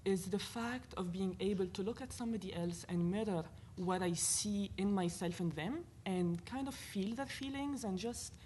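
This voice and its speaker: A woman from Lebanon with a Lebanese accent, speaking as a panelist at a university event in an earnest, animated way, thinking aloud with fillers. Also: medium pitch, slight background noise. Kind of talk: panel discussion talk.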